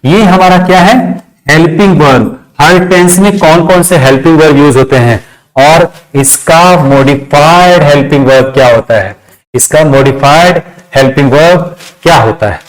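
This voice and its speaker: Male teacher, Indian accent, slightly high voice, instructive, question-and-answer delivery. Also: moderate pace, poor audio. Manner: instructive, repeats key phrases, asks and answers own questions. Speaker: English grammar teacher. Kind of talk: classroom lesson, step-by-step explanation.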